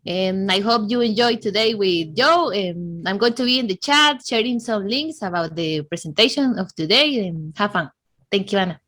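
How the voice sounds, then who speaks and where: Bright-voiced Costa Rican woman. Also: medium pitch, animated, moderate pace. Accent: Costa Rican Spanish. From Costa Rica. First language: Spanish. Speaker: webinar assistant from partners team. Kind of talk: short friendly self-introduction. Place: indoor room. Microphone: desktop microphone on video call.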